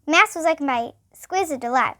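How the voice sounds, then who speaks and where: Child storybook reader, female: high, lively, expressive bedtime narration with small stumbles. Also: normal speed.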